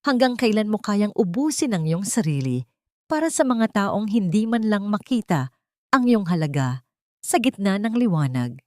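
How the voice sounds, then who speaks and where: A woman with a fairly low voice narrates a dramatic story. Her delivery is solemn and melodramatic, and the recording is close and dry. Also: darkish tone, no echo.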